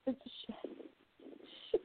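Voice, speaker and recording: A woman's voice: drawn-out words, a little hiss or hum. Orchid, an earnest 1920s columnist, played by a woman. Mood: tender. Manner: earnest, impassioned about women's rights. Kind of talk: amateur radio play acting. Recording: tinny phone-like audio.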